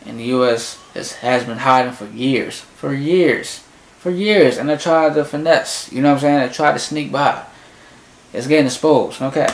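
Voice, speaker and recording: Young man, casual reaction commentary, animated slang, echoey bedroom, close webcam mic. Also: mid-range voice, moderate pace. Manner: animated, slangy, talks back to the song. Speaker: music reaction youtuber. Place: small bedroom in front of a closet door. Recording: echoey room.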